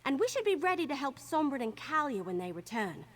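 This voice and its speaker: An actress playing an eager, earnest young adventurer in a video game, with a bubbly, youthful delivery. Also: female voice, high voice, very animated, brisk. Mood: earnest, wondering. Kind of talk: video game character dialogue.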